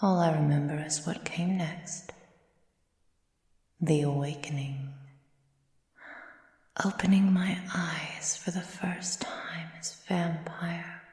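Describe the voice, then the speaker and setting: Woman acting slow, whispery seductive vampire woman. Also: lowish voice, steady tone, darkish tone. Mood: wistful, hypnotic. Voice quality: breathy, mostly whispered. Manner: slow, deliberate, theatrical, teasing. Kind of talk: ASMR roleplay, intimate monologue. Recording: roomy sound with long reverb.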